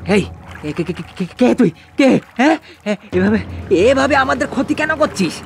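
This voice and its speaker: Man acting a high-pitched frightened villager, stammering. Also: big pitch swings, normal speed, creaky, faint music behind, full character voice. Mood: alarmed challenge. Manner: stammering, repeating words in fright. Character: frightened but defiant village fish farmer, man. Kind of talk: cartoon horror dialogue.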